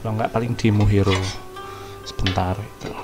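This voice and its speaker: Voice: low-pitched, steady tone, fast. A game streamer with an Indonesian accent, giving casual let's-play commentary and chatting informally to viewers. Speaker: gaming streamer. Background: game music.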